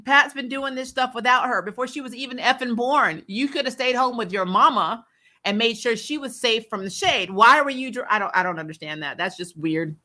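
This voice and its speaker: Bright-voiced, opinionated woman chatting casually. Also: on the high side, fairly quick. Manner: candid, opinionated, emphatic, slangy. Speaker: host of a fan recap livestream. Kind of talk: casual chatty commentary on TV shows, answering viewer comments.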